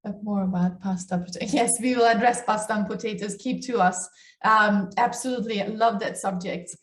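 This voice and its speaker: A woman from Ukraine, talking deliberately and emphatically as co-host of a conversational online health talk. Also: mid-range voice, steady tone. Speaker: doctor co-hosting a health talk. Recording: echoey room, distant mic.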